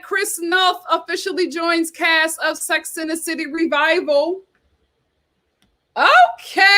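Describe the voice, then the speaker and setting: A woman's voice: high voice, fairly bright tone. A woman hosting a casual reality TV chat, reading viewer comments aloud with dramatic exclamations.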